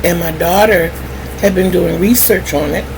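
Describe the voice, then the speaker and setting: A middle-aged woman telling personal stories candidly to viewers in a wry, animated manner, acting out remembered conversations. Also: fairly low pitch, moderate pace. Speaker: vlogger. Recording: clearly noisy background hum.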